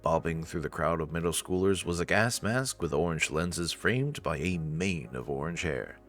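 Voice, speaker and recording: A man's voice: sits low, expressive, normal speed, a bit bright, soft background music. A man narrating a visual novel as a storyteller, with casual commenting asides.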